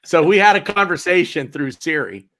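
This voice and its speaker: A man's voice: fairly high pitch, animated, normal speed, a bit bright. A man in a casual chat show, telling an anecdote and restarting his sentences. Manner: anecdotal, repeats words as he starts. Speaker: podcast co-host.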